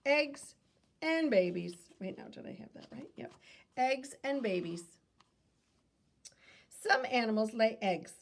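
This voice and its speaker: Creaky-voiced woman reading to children. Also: fairly high pitch, expressive, slow pace, pauses often. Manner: teacherly, asks questions and answers them, self-correcting. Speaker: read-aloud reader and teacher. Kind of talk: reading a children's nonfiction book aloud with asides.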